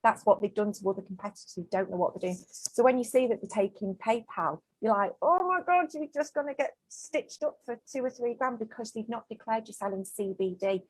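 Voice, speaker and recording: A woman's voice: fairly high pitch, a little fast, hardly any pauses, husky, dry recording, low-quality recording. A woman in her forties from the UK, a business owner talking frankly and emphatically as an interview guest. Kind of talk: casual interview chat.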